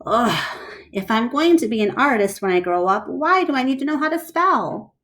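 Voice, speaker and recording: Woman as an exasperated schoolgirl, animated pitch. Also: moderate pace. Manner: groaning, questioning. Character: young schoolgirl, exasperated with homework, artistic.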